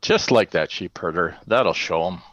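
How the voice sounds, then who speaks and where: A stern warrior mentor, played by a man at a table read, with a low voice and clipped, commanding delivery. He is terse and dry, as if drilling a pupil. The sound is close and dry but muffled. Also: animated, moderate pace, fairly bright tone, no room sound. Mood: dryly mocking. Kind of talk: table read of a fantasy screenplay.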